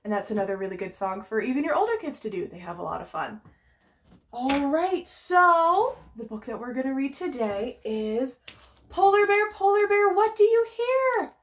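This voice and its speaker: A woman's voice: high voice, very animated, moderate pace, dull audio. A woman leading a storytime for babies as a children's librarian, reading in a sing-song way and speaking simply and encouragingly to little ones and their parents. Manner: encouraging, exclaiming, simple child-directed speech. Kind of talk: sing-song picture book read-aloud and coaching for babies and parents.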